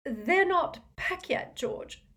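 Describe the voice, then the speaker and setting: A woman's voice: extremely high pitch, lively intonation, takes it slowly, a bit bright, pitched above the performer's own voice, heavily acted. A woman voicing an anxious middle-aged mother in a storybook, emotional, fretful and tearful.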